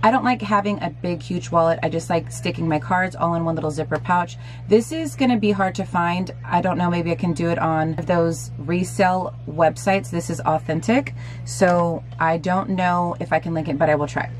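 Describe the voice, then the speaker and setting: A woman in her thirties, slightly hoarse, chatting upbeat to viewers as she shows things to the camera in a small bedroom. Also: on the low side, normal speed, soft background music. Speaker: lifestyle vlogger. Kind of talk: casual product show-and-tell.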